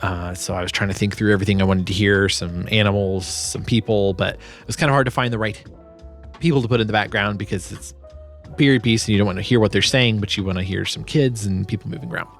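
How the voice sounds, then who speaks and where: A man's voice: on the low side, steady tone, fast talker, faint music behind. A man giving a casual, explanatory walkthrough as a sound designer presenting his work.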